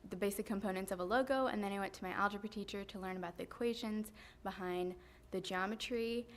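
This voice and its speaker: A teenage high school girl giving earnest prepared remarks at a school board meeting, steady with frequent ums, into a podium mic. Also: quick pace. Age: teens. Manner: earnest, steady, many um fillers. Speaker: high school student speaker. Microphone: podium microphone.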